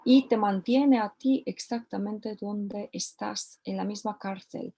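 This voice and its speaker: A woman's voice: mid-range voice, slow, gravelly, mellow. A woman teaching a recorded course lesson, often repeating key phrases for emphasis. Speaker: course instructor.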